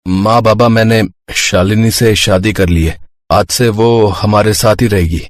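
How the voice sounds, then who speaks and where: Man playing a low-voiced, earnest poor young husband. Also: steady tone, measured pace, no room sound, natural voice. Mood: apologetic. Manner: earnest, explanatory. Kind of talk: moral-story cartoon dialogue.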